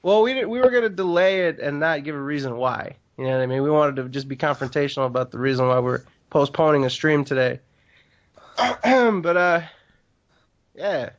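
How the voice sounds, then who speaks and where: A man's voice: a little high, expressive, normal speed, lo-fi sound. A man co-hosting a casual gaming podcast, a bit congested, talking in a rambling, joking way with lots of fillers. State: congested from allergies. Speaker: podcast co-host.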